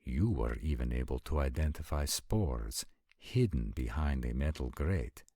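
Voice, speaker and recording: A man playing an ancient supernatural warrior in a roleplay audio drama, speaking formally and deliberately with concerned, protective gravity. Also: a bass voice, lively intonation, warm tone, no room sound, studio quality, heavily acted. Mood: puzzled, questioning. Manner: formal, measured, stilted diction with deliberate pauses. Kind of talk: scripted roleplay audio acting.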